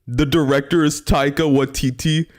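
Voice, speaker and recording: A man's voice: fairly high pitch, fairly even intonation, moderate pace. A man giving casual, amused live reaction commentary, informal and thinking aloud. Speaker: reactor, streamer.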